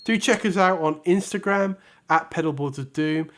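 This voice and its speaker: A man hosting a review channel, giving a scripted product review with measured, emphatic presenter delivery. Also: a little high, a little hiss or hum. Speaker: host of a guitar pedal review channel.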